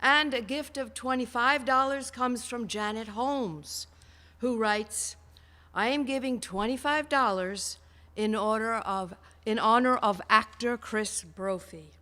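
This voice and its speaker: A woman reading out a report in a measured, deliberate, deadpan way. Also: fairly high pitch. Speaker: treasurer giving donation report. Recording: echoey hall, long reverb.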